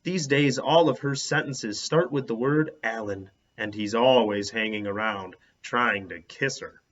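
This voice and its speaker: A man voicing a fussy, bossy schoolgirl who worries about germs, with emphatic drawn-out words in a storybook reading. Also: medium pitch, a bit bright. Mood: matter-of-fact. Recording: dull, lo-fi sound.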